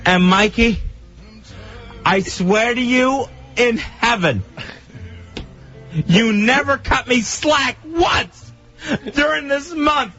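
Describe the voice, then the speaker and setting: Drunk guy sobbing and laughing, really high strained voice, slow, music playing behind him, muffled tape. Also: sharp tone. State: drunk, crying and laughing, very upset. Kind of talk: emotional radio outburst.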